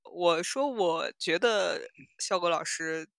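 A woman's voice: lowish voice, takes it slowly, gravelly, a bit bright, no room sound, clean studio sound. A woman hosting a podcast in casual chat, musing and building her points in short phrases. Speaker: podcast host. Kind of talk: casual chat reviewing audio dramas.

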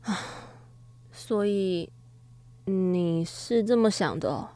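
A woman's voice: medium pitch, slow, warm tone, no echo, close to an everyday voice. A woman with a Taiwanese Mandarin accent playing a frank, spirited young dorm mate in a podcast radio drama. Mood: softened, conciliatory.

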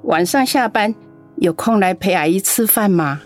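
A woman's voice: mid-range voice, lively intonation, moderate pace, soft background music, close to an everyday voice. An actress playing a lonely, doting elderly grandmother in a radio drama. She talks in a coaxing, chatty way and coughs now and then. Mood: affectionate. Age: older.

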